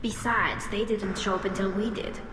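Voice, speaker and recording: A woman's voice: mid-range voice, steady tone, moderate pace, natural voice. An actress playing an earnest, principled young female alien engineer in a video game, speaking precisely. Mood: thoughtful. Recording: echoey hall reverb, music behind.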